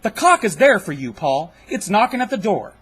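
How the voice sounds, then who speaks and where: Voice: medium pitch, big pitch swings, moderate pace, a bit bright, husky. A speaker performing comedy sketch dialogue in a theatrical, mock-solemn manner.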